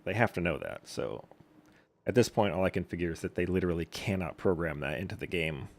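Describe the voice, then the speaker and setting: A man's voice: low voice, animated, a little fast, creaky. A man casually commentating on a live game stream in a dry, blunt way.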